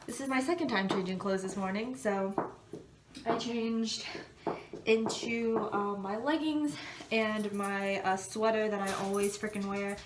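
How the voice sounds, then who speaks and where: A woman's voice: a little high, noisy background. A young woman vlogging casually to her phone camera in a bathroom, rambling with lots of ums and likes, a bit stuffy and congested. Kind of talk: casual talk to camera while getting ready. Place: home bathroom.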